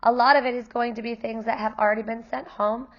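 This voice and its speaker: Woman, kindergarten teacher, hoarse from losing her voice, friendly parent-night explainer, gravelly tone, dull lo-fi recording. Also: fairly high pitch, steady tone, fast. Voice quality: gravelly, worn voice.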